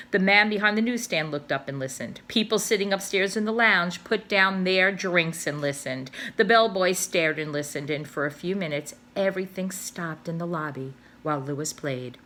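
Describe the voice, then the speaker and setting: A woman, a teacher, reading a children's novel aloud with expressive storytelling. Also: on the low side.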